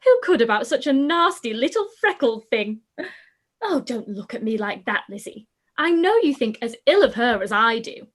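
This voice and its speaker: Woman as a giddy teenage girl, chattering, high voice, swooping pitch. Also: very animated, moderate pace, a bit bright. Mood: gossiping. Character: giddy, silly youngest sister, teenage girl. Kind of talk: live-read radio play.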